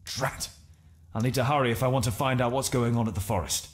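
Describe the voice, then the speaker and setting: A man playing a composed middle-aged Victorian detective and father in a video game, with a British accent and a measured, clipped, reserved delivery. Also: mid-range voice, natural voice. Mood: focused. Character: composed Victorian gentleman detective, a doting but wary father.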